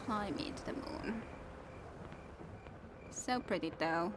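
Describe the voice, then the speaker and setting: A young woman streaming a game and chatting with viewers in a soft, musing way; English is not her first language and her voice is worn out from long reading. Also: mid-range voice, slow pace, noisy background. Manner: soft, musing, self-talking. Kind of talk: casual gameplay chat with viewers. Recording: game music in background.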